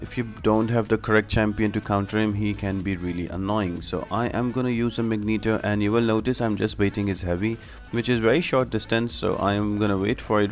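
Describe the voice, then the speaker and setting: Low-pitched man narrating a game guide. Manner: explanatory, step-by-step instructing. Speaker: video game guide host. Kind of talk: gameplay tutorial commentary.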